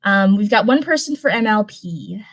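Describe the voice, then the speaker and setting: A woman's voice: mid-range voice, moderate pace. A woman hosting a live reading stream, moving between casual, chatty talk and storybook narration. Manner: chatty, animated.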